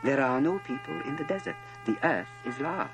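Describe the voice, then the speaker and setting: A man's voice: mid-range voice, lively intonation, brisk, music in the background, voice pushed up, close to an everyday voice. A man voicing a cryptic, self-assured and pitying snake in a storybook reading, speaking solemnly in riddles. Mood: matter-of-fact.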